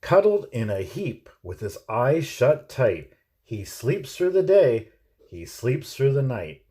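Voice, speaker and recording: A man teacher reading a children's picture book aloud in an expressive, drawn-out storytelling manner. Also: medium pitch, husky.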